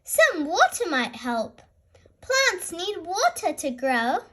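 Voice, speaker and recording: A woman voicing a kindly female gazelle playgroup teacher from a children's storybook, gentle and instructive. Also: very high voice, big pitch swings, takes it slowly, pitched above the performer's own voice, full character voice. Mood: encouraging, patient.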